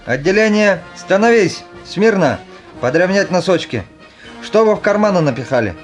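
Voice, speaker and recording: Man playing a high-voiced stern young army commander. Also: expressive, normal speed. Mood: strict. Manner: barking, commanding. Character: stern young male army commander barking orders at recruits. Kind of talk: audio play acting. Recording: music under the voice.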